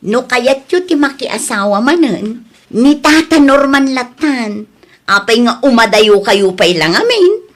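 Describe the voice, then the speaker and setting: A woman's voice: fairly high pitch, sing-song, unhurried. A woman playing a bossy but protective grown daughter in an audio drama, scolding with exasperation. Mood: firm, admonishing.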